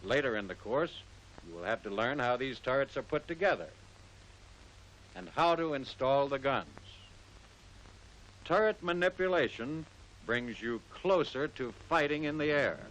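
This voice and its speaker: A man's voice: medium pitch, fairly bright tone. An American man reading scripted narration for a 1940s instructional film, speaking directly to trainees. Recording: noisy 1940s film soundtrack.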